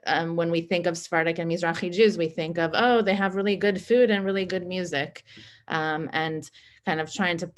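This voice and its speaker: A young woman from Oakland with a somewhat low voice, speaking over a video call. She sounds chatty and upbeat, and she fills her talk with likes and ums as she speaks on a panel. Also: fairly even intonation, moderate pace. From Oakland, California.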